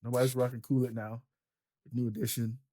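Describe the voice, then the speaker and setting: A man's voice: medium pitch, level tone, fairly quick, dark tone. A man hosting a casual interview, a keyboard player who talks in an easygoing way, laughing often and asking short questions. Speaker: podcast host and keyboard player.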